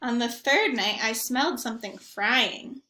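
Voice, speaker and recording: A woman doing an expressive read-aloud for young children, with questioning asides to her listeners. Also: on the high side, measured pace, roomy sound, slight background noise.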